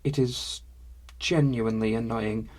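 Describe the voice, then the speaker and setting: A man voicing a cheerful female dwarf stablehand who speaks in a stilted, oddly formal way, with a husky voice. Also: a bass voice, fairly even intonation, measured pace, a little mellow. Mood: explaining. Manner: stilted phrasing with much "is being".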